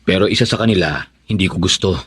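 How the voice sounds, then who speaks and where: An actor playing a cautious, stern husband with a husky voice, speaking in a measured, admonishing way in a Tagalog radio drama. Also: male voice, low voice, fairly bright tone, no room sound. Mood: hesitant concern. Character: cautious, stern husband warning his wife.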